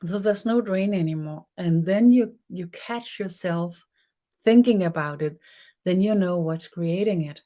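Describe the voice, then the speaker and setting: A middle-aged woman with a Danish accent, her first language Danish, teaching a spiritual webinar in a soft, unhurried way, often pausing and restarting as she searches for English words. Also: lowish voice.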